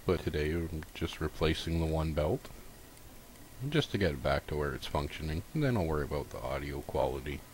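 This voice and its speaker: A man's voice: a bass voice, brisk, slight background noise. A man casually narrating a step-by-step repair tutorial in an informal, folksy, unscripted way.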